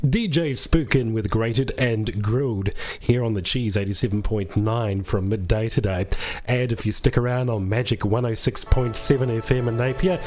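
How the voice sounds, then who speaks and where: A man's voice: mid-range voice, moderate pace, a little mellow. A New Zealand man presenting a music radio show with friendly, chatty DJ patter. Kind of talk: upbeat music-radio DJ links. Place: radio studio. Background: music under the voice.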